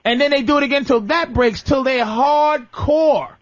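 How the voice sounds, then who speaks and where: A man's voice: very high voice, moderate pace, no room sound. An American man hosting a talk show, giving blunt, profane, domineering advice in an unscripted comedic rant, often cutting in and repeating himself. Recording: slightly dull, lo-fi radio broadcast audio.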